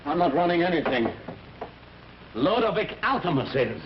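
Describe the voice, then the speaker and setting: An actor playing a stubborn, excitable investigator in an old radio thriller, with an insistent, emphatic delivery. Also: male voice, a little high, moderate pace. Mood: determined. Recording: dull, hissy old radio recording.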